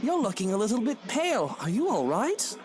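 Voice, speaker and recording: An actor playing a suave aristocratic husband in a visual novel, speaking formally with earnest, coaxing phrasing. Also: male voice, high-pitched, huge pitch swings, fairly quick, slight background noise. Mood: teasing, theatrical. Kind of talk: scripted visual novel voice acting.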